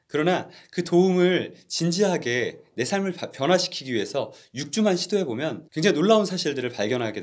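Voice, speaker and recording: Male co-host, earnest and explanatory, animated pitch, mid-range voice. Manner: earnest, explanatory, lively intonation. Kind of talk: reflective book discussion.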